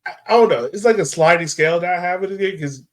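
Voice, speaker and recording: A man with a slightly high voice hosts a casual sports conversation about soccer. He talks informally, as one of a group of podcast panelists. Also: quick pace.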